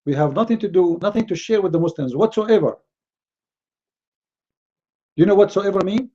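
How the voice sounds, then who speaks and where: Arabic-accented man, indignant rebuttal, raised pitch, muffled lo-fi sound. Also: animated, moderate pace, mellow. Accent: Middle Eastern Arabic. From Middle East. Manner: indignant, repeats words and restarts often. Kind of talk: heated rebuttal to a clip.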